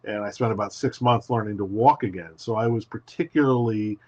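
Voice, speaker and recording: A man's voice: on the low side, a little fast, a little mellow. Man, guest writer, casual interview, emphatic storyteller, muffled lo-fi audio.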